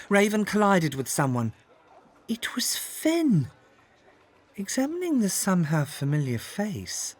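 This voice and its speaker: A man narrating a scripted children's story in an expressive, dramatic way. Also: fairly high pitch, slightly rough.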